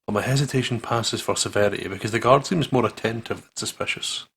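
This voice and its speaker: A man's voice: fairly low pitch, fast talker, gravelly, fairly bright tone, breathy edge. A man hosting a gaming video, reading story narration aloud dramatically and dropping into casual asides.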